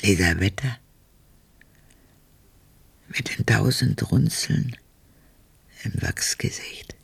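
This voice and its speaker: An actor voicing a dreamlike radio drama character in a breathy, poetic, fragmentary murmur. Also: mid-range voice, soft background music. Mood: dreamy, hushed. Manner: slow, fragmentary, poetic delivery.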